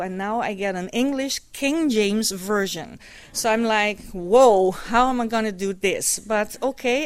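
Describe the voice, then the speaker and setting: A middle-aged woman from Curacao, a native Dutch speaker, talks through her personal testimony in a loose, conversational way, with plenty of ums and uhs. Her voice sits at a middle pitch. The recording is dry and close. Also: no room sound. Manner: conversational storytelling, many fillers.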